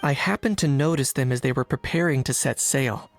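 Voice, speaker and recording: A man voicing a gravelly, inquisitive sleuth. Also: mid-range voice, a little fast, soft background music, natural voice. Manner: thoughtful, inquisitive inner monologue. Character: shrewd young man investigating smugglers. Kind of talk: game narration and monologue.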